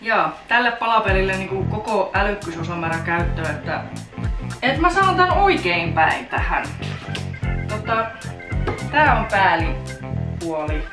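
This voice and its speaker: A woman's voice: mid-range voice, sing-song, long pauses. A young woman in her twenties vlogging a do-it-yourself renovation, chatty and self-mocking, cursing casually as she talks to a handheld camera in a bare room. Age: 20s. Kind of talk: casual DIY renovation vlog. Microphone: handheld camera mic. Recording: echoey empty room with background music.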